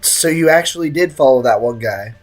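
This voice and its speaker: Very low-voiced woman streaming a game. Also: lively intonation, brisk. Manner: slangy, teasing, reactive. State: slightly sick throat. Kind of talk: casual live gameplay commentary.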